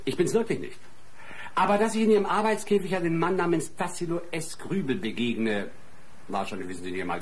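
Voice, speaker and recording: A man's voice: mid-range voice, lightly acted. An actor playing a talkative, mocking man in a radio drama, teasing with pompous rhetorical questions. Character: theatrical, mocking male acquaintance, talkative. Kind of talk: German radio crime drama acting.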